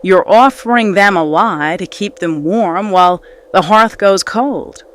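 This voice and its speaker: A woman playing an alluring, challenging sea siren in a mythic audio drama, speaking in a deliberate, probing, theatrical way. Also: mid-range voice, lightly acted. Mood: knowing, piercing.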